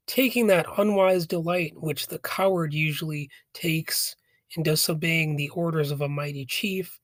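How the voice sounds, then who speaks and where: A man hosting a podcast with a raspy voice from a cold, commenting casually and rambling with hesitant, jokey asides. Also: fairly high pitch, fairly bright tone, dry recording. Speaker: podcast host. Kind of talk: casual commentary on a philosophy text.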